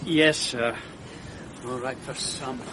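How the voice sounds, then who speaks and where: An actor playing a grumbling young police constable who keeps complaining. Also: male voice, mid-range voice, fairly even intonation, slow, background noise, natural voice. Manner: whiny, grumbling. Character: grumbling young male constable munching biscuits. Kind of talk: radio drama acting.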